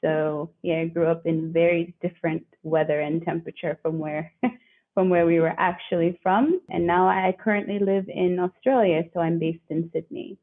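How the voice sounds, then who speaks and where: Woman guest, lowish voice, narrow phone-like sound, chatty and reflective. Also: steady tone, moderate pace. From northeastern United States. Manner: chatty, reflective, light laughs. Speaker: guest, career coach. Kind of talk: conversational interview. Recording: tinny phone-like remote line.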